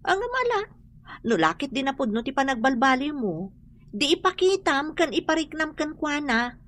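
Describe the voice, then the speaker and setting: A blunt woman confidante who chides and admonishes. Her voice is high and very bright, with emphatic Ilocano radio drama delivery. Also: sing-song, moderate pace. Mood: admonishing. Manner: chiding, emphatic.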